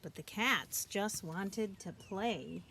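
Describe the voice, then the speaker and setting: A woman reading a children's picture book aloud, expressively and deliberately, as a teacher would for young listeners. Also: mid-range voice, creaky, sharp tone, slight background noise. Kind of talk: children's storybook read-aloud.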